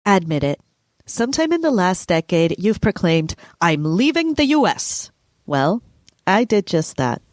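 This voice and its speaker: A woman's voice: lowish voice, very animated, a little slow, dry recording, clean studio sound. A woman from Peru reading scripted narration for a podcast trailer as its host. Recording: music bed under voice. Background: music.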